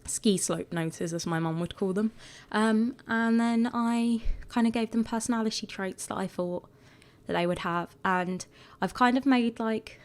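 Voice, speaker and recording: A woman with a croaky voice, chatting casually and rambling a bit as she talks over her video. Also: a little high, unhurried. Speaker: gaming video creator.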